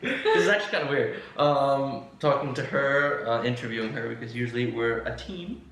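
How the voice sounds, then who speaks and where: A man's voice: medium pitch, animated, fairly quick, fairly bright tone. A young man from the United States hosting a casual podcast, chatty and playful with lots of fillers. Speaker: podcast host interviewing his co-host. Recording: echoey room, distant mic.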